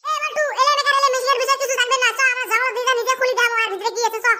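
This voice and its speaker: Squeaky, fast-talking cartoon village fellow. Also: male voice, fairly even intonation, very noisy. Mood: impatient, bossy. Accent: rural northern Bengali dialect. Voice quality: squeaky, pitch-shifted. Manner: exclaiming, bickering, repeating phrases. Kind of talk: comedy cartoon dub.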